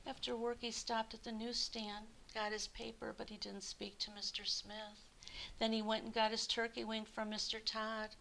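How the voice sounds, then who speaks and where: A woman's voice: a little high, normal speed, vocal fry, low-quality recording. An older woman, in her sixties or beyond, speaking expressively and kindly to young children as a storyteller. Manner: animated, addressing young listeners kindly. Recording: hissy background noise.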